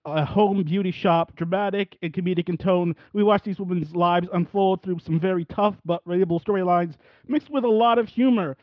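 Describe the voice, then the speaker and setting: High-voiced man hosting a podcast. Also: no echo. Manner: rambling, halting questions with many restarts. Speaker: podcast host. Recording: dry, dull lo-fi audio.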